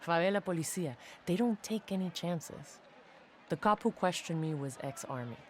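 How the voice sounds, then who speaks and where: A woman's voice: on the low side, lively intonation, normal speed, a little mellow, close to an everyday voice. Casting: actress as street-raised female soldier, reflective and confiding video game log, slight background noise. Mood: wry, proud. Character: young female special forces soldier, tough favela-raised street kid. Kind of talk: dramatic first-person audio log narration.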